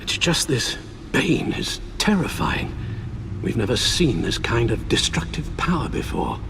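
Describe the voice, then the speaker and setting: An elderly British butler in a video game. He is formal, caring and fretful, with a very expressive rise and fall to his speech. Clear ambient noise sits behind him. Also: medium pitch, a little slow. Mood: sorrowful, shaken. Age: older. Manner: formal, careful, emotional. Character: anxious, caring, refined elderly male butler.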